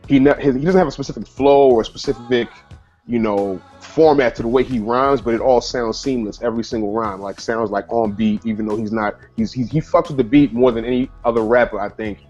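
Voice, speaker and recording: A man in his thirties talks at length in a mid-pitched, rambling way, often restarting his sentences. The sound is muffled and lo-fi, with faint music behind him. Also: brisk, darkish tone. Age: 30s. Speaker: panelist, rapper. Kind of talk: casual conversation.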